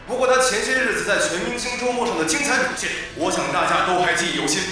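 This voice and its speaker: A man acting as an eager esports co-commentator with a high, quick voice. He explains the match in polished broadcast style for an audio drama. His words echo strongly. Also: natural voice. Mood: assured, analytical. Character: eager male esports analyst, agreeable and knowing. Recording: echoey arena sound over loud music.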